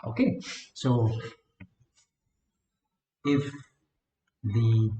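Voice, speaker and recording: A man's voice: medium pitch, steady tone, extremely slow, mellow, a little hiss or hum. Man lecturing in an echoey classroom. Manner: repeats words, asks "right?" often. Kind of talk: classroom lecture, dictating notes slowly. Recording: echoey classroom, dull lo-fi sound.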